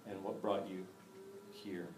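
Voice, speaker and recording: Guy hosting an interview, lowish voice, thoughtful and chuckly, echoey room with noise behind. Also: level tone, slow pace, far from the mic. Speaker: host. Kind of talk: conversational interview.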